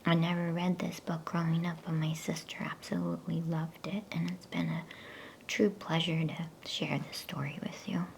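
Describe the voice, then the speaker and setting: A woman speaking in a breathy, soothing, unhurried whisper, as in an ASMR bedtime reading. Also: on the low side, level tone, a little hiss or hum. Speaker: ASMR bedtime story reader. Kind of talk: whispered ASMR reading aloud of a children's novel.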